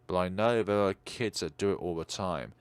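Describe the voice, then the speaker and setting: A man's voice: low-pitched, normal speed, clear, resonant tone, no room sound. A man reading visual novel narration aloud dramatically, stumbling over words now and then and correcting himself. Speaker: video game streamer reading dialogue aloud. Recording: music behind the voice.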